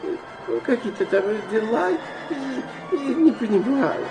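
An actor playing a hesitant, gossiping man in a radio play, rambling and halting with many hems. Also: very high-pitched, lively intonation, over music, exaggerated voice, low-quality recording. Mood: dithering.

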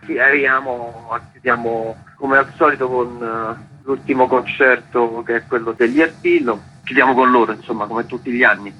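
A man's voice: medium pitch, normal speed, few pauses, lo-fi sound, a little hiss or hum. A man, a festival organizer chatting loosely in a radio interview, full of fillers. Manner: rambling, relaxed, many fillers.

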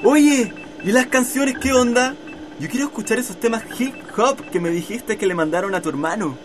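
A woman's voice: on the high side, moderate pace, background noise, natural voice. A woman playing a grumbling, slangy schoolboy with a Chilean accent in an educational radio drama. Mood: casual. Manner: complaining, slangy.

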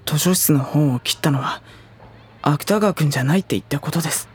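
An actor playing a guarded, skeptical high school boy in a Japanese audio drama, polite in wording but blunt. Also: a little fast, faint music behind, close to an everyday voice. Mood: irritated, doubting. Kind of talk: Japanese audio drama dialogue and inner narration.

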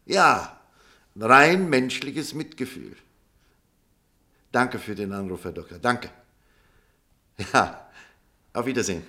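An actor playing an earnest, conflicted doctor in love in a German radio drama, speaking in a formal, insistent manner. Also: male voice, medium pitch, animated, slow pace, pauses often, pushed and bright. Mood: relieved, joyful.

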